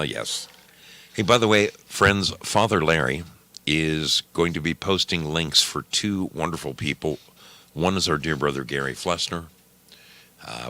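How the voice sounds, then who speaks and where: Man, radio host, very low bright voice, folksy and theatrical, casual live chat. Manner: folksy, theatrical, addresses listeners as friends and dear brothers.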